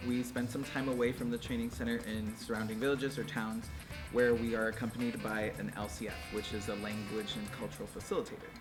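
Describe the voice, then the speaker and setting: A man's voice: lowish voice, flat delivery, brisk. A young American man, a volunteer, speaking casually in an interview testimonial. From Arizona, United States. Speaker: Peace Corps volunteer. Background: music.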